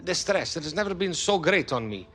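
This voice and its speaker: An actor playing an earnest, stressed football club official in a video game, speaking formally and deliberately with non-native phrasing. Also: male voice, a little high, very animated, a bit bright, a little hiss or hum. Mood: worried. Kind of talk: naturalistic video game cutscene acting. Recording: clear music behind the voice.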